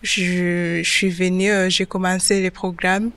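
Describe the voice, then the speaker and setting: A woman in her twenties from Rwanda, answering interview questions in simple, halting French that is not her first language. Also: on the low side, flat delivery, fairly bright tone, clean tone, no room sound. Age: 20s. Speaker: interview guest, web development apprentice.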